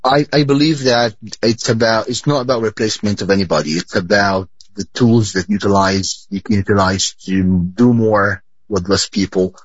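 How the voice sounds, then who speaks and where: Halting, husky low-voiced man in an interview. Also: steady tone, dry recording. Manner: halting, repeats and rephrases words. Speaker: sports company board chairman, interviewee. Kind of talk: live broadcast interview. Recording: dull lo-fi audio.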